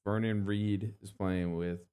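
A man's voice: on the low side, unhurried, clear, resonant tone. A man hosting a community radio show, chatting casually and loosely about local events. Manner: relaxed, laid-back, filler-heavy. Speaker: radio host.

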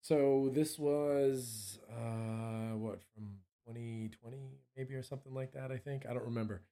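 Hoarse, mellow-voiced man chatting casually. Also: mid-range voice, fairly even intonation, a little slow. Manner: rambling, self-interrupting. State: hoarse from allergies, clearing throat. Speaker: musician, songwriter guest. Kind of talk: casual interview chat.

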